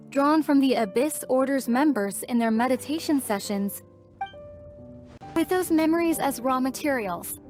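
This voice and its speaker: A woman's voice: high voice, a little mellow. A woman playing a solemn, resolute young princess in a video game, speaking earnestly and formally as if burdened by old guilt. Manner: earnest, formal storytelling delivery. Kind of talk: dramatic video game dialogue. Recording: studio voice over background music.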